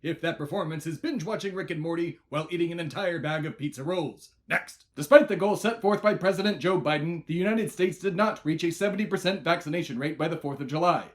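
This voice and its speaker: A man's voice: fairly high pitch, quick pace, few pauses, dry recording, close to an everyday voice. Man playing pompous, immortal embodiment of America. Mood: shouting, emphatic. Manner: pompous, shouting announcer bursts, rambling asides. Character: immortal, self-important male embodiment of America, bombastic. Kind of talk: comedy podcast character monologue.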